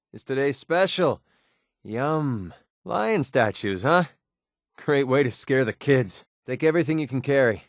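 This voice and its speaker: An actor playing a sardonic young American mercenary sniper in a video game, with a casual, wisecracking delivery. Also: male voice, medium pitch, no room sound, clean studio sound. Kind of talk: video game companion voice lines.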